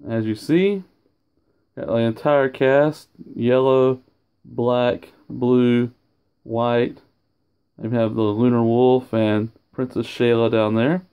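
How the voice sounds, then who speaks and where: A man's voice: mid-range voice, fairly even intonation, takes it slowly, clear, resonant tone, phone-quality sound. A man casually showing off his autograph collection at home, rambling and often losing his train of thought. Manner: rambling, loses track, self-corrects. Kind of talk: casual home video show-and-tell. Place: indoors at home, over a sofa.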